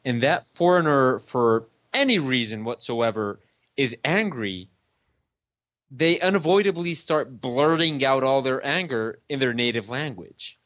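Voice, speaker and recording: Colombian guy, spanish first language, co-host, bouncy pitch, stammers a lot when he gets going. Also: unhurried, no echo. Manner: animated, stammering, wry. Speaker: podcast co-host. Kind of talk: casual podcast chat.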